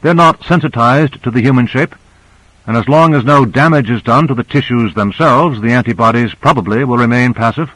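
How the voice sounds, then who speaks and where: A man's voice: mid-range voice, fairly quick, fairly bright tone, no echo, close to an everyday voice, rough recording. A man voicing a knowing, assured male scientist who explains things in an audiobook. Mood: reassuring.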